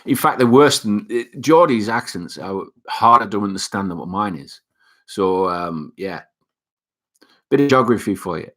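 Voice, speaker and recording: A man's voice: fairly low pitch, expressive, normal speed, a bit bright. A young man with a Northeast England accent, hosting a casual live chat and reading viewer comments, rambling with lots of fillers and restarts. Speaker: livestream host reading chat.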